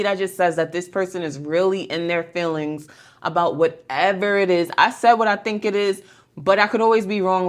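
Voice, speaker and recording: A woman hosting a casual tarot reading, talking in a blunt, slangy, conversational way as she spins out scenarios. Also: fairly low pitch. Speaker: tarot reader and video host. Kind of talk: casual pick-a-card tarot reading to camera.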